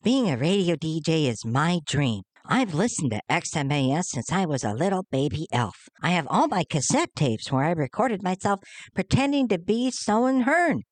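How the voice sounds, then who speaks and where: A woman's voice: lowish voice, very animated, normal speed, no echo. A woman playing a meek, earnest young male elf who speaks politely and hesitantly in a comic Christmas radio play. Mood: eager, grateful.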